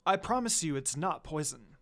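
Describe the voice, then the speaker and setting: A man's voice: fairly high pitch, lively intonation, moderate pace. A man voicing a shy, polite young princess with a nervous, apologetic manner in a story reading. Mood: earnest. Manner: nervous, apologetic, soft-spoken.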